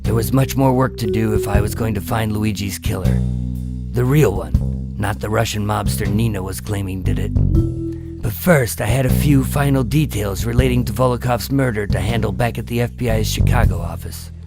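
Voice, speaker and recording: Over prominent music comes a weary, hard-boiled undercover agent, played by a man. His voice is lowish and full of fry, in the manner of scripted radio drama. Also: normal speed. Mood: grave, narrating.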